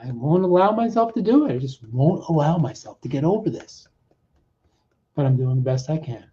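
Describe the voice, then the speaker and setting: A man working as a coach, leading a guided self-help exercise in short, deliberate, repeated phrases with pauses between them. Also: a little high, expressive, warm tone. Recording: dull, muffled lo-fi audio.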